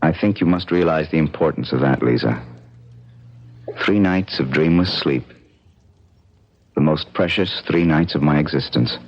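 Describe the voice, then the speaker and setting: An actor with an American accent playing an excitable, haunted struggling painter in a radio drama, in a theatrical manner. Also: male voice, extremely low pitch, moderate pace, long pauses. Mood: calm, relieved. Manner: emotional, theatrical. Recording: dull lo-fi vintage radio recording.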